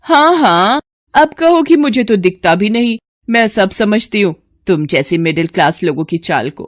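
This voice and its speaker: A woman's voice: mid-range voice, animated, moderate pace, no room sound, close to an everyday voice. Lady doing a bossy cartoon mother-in-law, middle-aged, haughty n scolding, scheming type, dry close sound. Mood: mocking, indignant.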